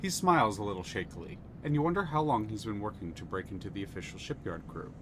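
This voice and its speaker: A man's voice: lowish voice, animated, quick pace, background noise. A man narrating a story game aloud on a live stream, reading expressively. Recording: music playing under the voice.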